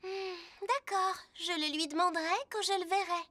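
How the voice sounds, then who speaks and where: A woman voicing a brisk, bossy young female rescue helicopter in a French children's cartoon dub. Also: extremely high pitch, fairly even intonation, noisy background. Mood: businesslike.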